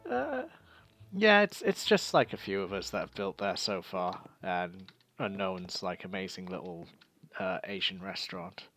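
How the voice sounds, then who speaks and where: A man with a croaky voice chatting casually and laughing easily while gaming with friends. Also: deep voice, expressive, a little slow, a bit bright.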